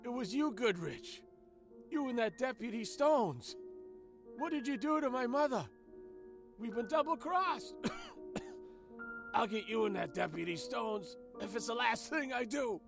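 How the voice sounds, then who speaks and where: A man's voice: very high voice, sing-song, measured pace, creaky, long pauses, music in the background, full character voice. A man playing a tough, cocky young gang leader in an audio drama, with streetwise, swaggering talk. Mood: frightened, furious.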